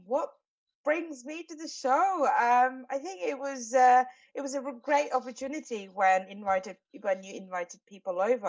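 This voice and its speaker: A woman's voice: on the high side, recorded from a distance, muffled recording. A woman in her forties from Sri Lanka, a podcast guest speaking casually with many fillers and restarts. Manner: halting, many fillers and restarts, laughs often. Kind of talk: casual interview talk.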